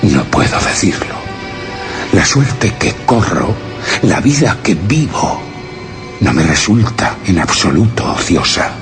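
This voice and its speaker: Deep-voiced brooding exile, slow and solemn. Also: male voice, sing-song, full character voice. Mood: wistful, reflective. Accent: Castilian Spanish. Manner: solemn, literary, measured delivery. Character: brooding exiled man reading his anguished written account. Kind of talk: dramatic radio theatre monologue. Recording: voice under loud dramatic music.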